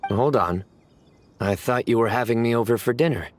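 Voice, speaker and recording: An actor playing a composed man with a deadpan love of puns in a video game, speaking in a measured way. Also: medium pitch, slight background noise, natural voice. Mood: dryly pleased. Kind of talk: video game character voice acting.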